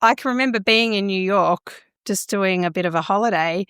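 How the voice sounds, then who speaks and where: A woman from Australia, a speech pathologist and singer, answering interview questions in a relaxed, reflective and candid way. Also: mid-range voice, a little fast, clear, resonant tone, no echo, studio quality.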